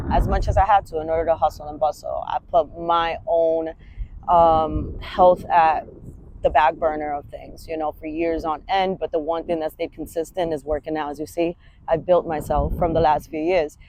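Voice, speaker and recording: A woman's voice: low-pitched, mellow, a little hiss or hum, low-quality recording. A woman, a fitness coach talking candidly in a rambling, casual vlog and swearing freely. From Washington Heights, New York. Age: 30s.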